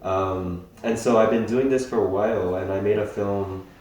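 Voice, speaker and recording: A young man from Hawaii, a filmmaker being interviewed, speaking thoughtfully with many pauses and restarts. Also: fairly low pitch, steady tone, a little mellow. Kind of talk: casual interview talk. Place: festival venue indoors. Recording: very echoey room.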